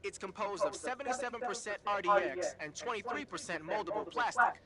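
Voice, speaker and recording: An actor playing a brisk, knowledgeable military support officer in a video game, explaining and instructing with emphasis. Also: male voice, high-pitched, a loud crowd, natural voice. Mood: instructive. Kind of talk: video game radio briefing. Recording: loud music under the voice.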